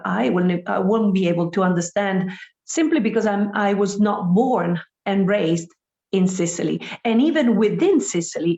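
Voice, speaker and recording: An Italian woman speaks English with a clear accent in a relaxed book club chat. She hesitates often and restarts her sentences. The sound is echoey and a little dull. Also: medium pitch. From northern Italy. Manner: hesitant, many fillers and restarts. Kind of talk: casual discussion.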